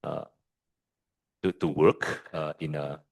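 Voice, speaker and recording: A man with an Indonesian accent, a guest lecturer answering audience questions in a measured, hesitant way with frequent fillers and restarts. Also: extremely low pitch, steady tone, darkish tone.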